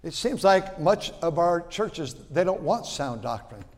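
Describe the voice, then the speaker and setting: A man in his sixties preaching a sermon in a folksy way, repeating words and swinging from loud to quiet. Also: on the high side, very animated, a little slow, in a large hall. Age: 60s. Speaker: pastor.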